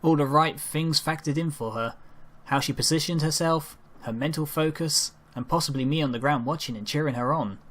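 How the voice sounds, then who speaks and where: A man with a slightly high voice narrates a first-person story. He reads as an amateur, with an unpolished delivery that can trip over the wording. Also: fairly bright tone. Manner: halting amateur delivery with stumbles over lines. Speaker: amateur reader narrating a fan fiction story.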